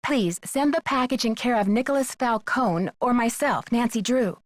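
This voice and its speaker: A woman playing a polite, earnest and determined young detective in a video game, with an American accent and clear delivery. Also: fairly high pitch, normal speed, dry recording, clean studio sound, lightly acted. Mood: grateful.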